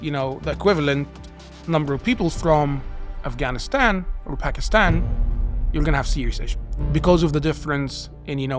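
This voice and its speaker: A middle-aged man from Egypt with an American-schooled English accent, talking earnestly in an interview and filling his speech with "you know". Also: fairly high pitch. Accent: mixed Egyptian and American-schooled English. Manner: earnest, many "you know" fillers, quiet trailing asides. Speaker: guest. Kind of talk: conversational interview.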